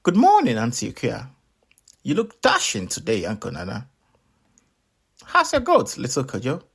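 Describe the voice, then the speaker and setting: A man's voice: medium pitch, huge pitch swings, normal speed, vocal fry, a bit bright, husky, exaggerated voice. A man voicing a tiny, chatty talking melon in a children's story, courteous and sing-song in manner. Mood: cheerfully polite.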